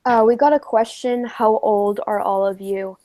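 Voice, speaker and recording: A woman's voice: mid-range voice, fairly even intonation, normal speed, mellow, no echo. A woman in her late teens talking casually as a guest on a live stream. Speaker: fishing YouTuber, stream guest. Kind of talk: casual chat.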